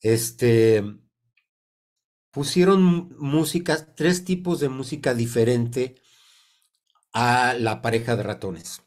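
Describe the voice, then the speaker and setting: A man in his sixties with a Mexican Spanish accent, congested from a cold, teaching informally over a video call in a halting, deliberate way with many pauses. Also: medium pitch, darkish tone. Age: 60s. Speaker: sound therapy teacher. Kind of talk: informal explanatory online talk. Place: indoor room on a video call. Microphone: computer mic on a video call.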